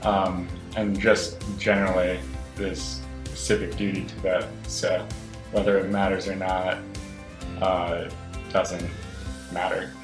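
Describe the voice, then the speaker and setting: A man's voice: deep voice, little pitch movement, takes it slowly. A young man from New York City, a student answering hesitantly with many fillers. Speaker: college student. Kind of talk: interview answers. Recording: roomy echo, music underneath.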